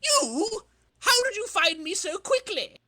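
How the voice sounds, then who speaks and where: A man's voice: very high-pitched, big pitch swings, takes it slowly, sharp tone, dry recording, studio quality, pitched above the performer's own voice, full character voice. Man putting on a cocky, swooping supervillain. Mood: startled. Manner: boastful, over-dramatic, declaiming. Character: cocky male supervillain racer, boastful and scheming. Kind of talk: dramatic reading of a comic book.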